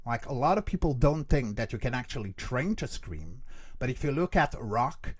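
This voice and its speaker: A man's voice: mid-range voice, big pitch swings, moderate pace, husky. A middle-aged man speaking as an expert interview guest, explaining earnestly and emphatically and often checking that the listener follows. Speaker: interview guest, creature voice actor and vocal coach.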